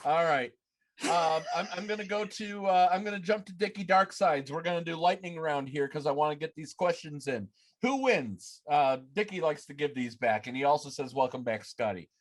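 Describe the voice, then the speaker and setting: High-pitched male podcast host. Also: moderate pace. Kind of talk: casual chat, quick-fire questions. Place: home room, on a video call. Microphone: video call.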